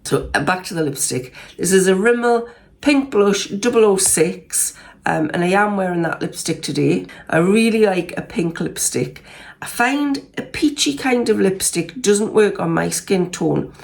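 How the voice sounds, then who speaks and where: A Geordie woman in her sixties, croaky from a cold, chatting casually through a makeup review vlog with lots of ums and restarts. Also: mid-range voice, lively intonation, measured pace. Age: 60s. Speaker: beauty vlogger.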